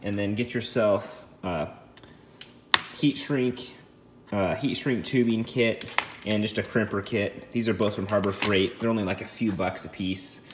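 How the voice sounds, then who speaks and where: A man with a low voice walks through a hands-on job in a plain, matter-of-fact way. His delivery is casual, like someone hosting a DIY video. Clear clicking and clattering noise sits around his speech. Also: fairly even intonation, a little slow. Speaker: host of a DIY install video. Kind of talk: casual how-to narration.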